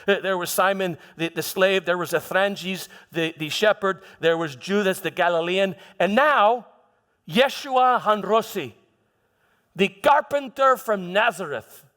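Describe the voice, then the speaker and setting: A man playing a proud, defensive Roman prefect and soldier in a dramatic monologue, halting and repeating words as he tells his story theatrically. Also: high voice, fairly bright tone. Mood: grave, wary. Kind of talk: first-person church dramatic monologue. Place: church, live dramatization. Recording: reverberant hall.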